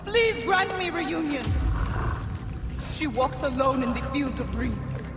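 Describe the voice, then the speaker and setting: A woman's voice: high voice, lively intonation, slow. An actress playing a fierce, grieving sorceress mother who guards a tomb, in an imperious, theatrical video game performance. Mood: grieving, pleading. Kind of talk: dramatic video game acting. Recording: echoey reverberant hall with music behind.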